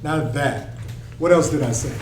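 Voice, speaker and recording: A man's voice: on the high side, a little slow, darkish tone, lightly acted. A man playing a stern but caring middle-aged father and restaurant boss, scolding and reassuring by turns, in a screenplay table read. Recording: echoey room, distant mic, faint hum.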